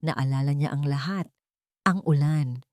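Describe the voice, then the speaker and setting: A woman's voice: low voice, a little slow, a little mellow, no room sound, clean studio sound. A woman giving dramatic scripted narration as a storyteller.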